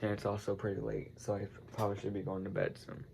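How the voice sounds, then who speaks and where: A man's voice: fairly low pitch, completely flat delivery, fast, gravelly, darkish tone, a little hiss or hum, rough recording. A congested teenage boy chatting casually to camera, rambling with lots of fillers and self-corrections. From United States. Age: teens. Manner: meandering, heavy use of "like" and "um", frequent self-corrections. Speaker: vlogger.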